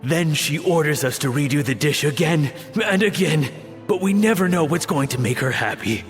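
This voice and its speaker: A discouraged young cook, voiced by a man, talks haltingly in a somewhat high voice. A long hall reverb and faint music hang behind his game lines. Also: lively intonation, close to an everyday voice. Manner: hesitant, downcast. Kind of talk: video game voice acting.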